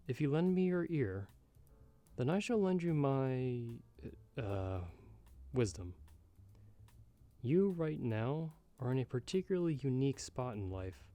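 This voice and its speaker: Mellow, wry bartender played by a man. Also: medium pitch, no echo. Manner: measured, wry, slightly formal and old-fashioned phrasing. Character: jaded, sardonic male bartender giving frank, fatherly advice. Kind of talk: ASMR roleplay, scripted monologue to the listener. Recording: background music under the voice. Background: music.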